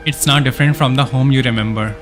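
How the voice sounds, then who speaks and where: A man's voice: medium pitch, fairly even intonation, quick pace, fairly bright tone. Male game streamer over background music. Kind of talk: live game stream, reading story text aloud with casual reactions.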